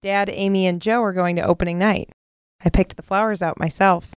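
An actress playing a gentle, shy, sweet young American sister, earnest and casual, in a naturalistic modern audio drama. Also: female voice, medium pitch, fairly even intonation, brisk, clear, resonant tone, no echo, natural voice.

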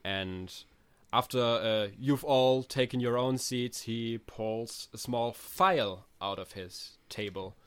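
A man narrating calmly as game master of a tabletop game. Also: mid-range voice, takes it slowly, smooth.

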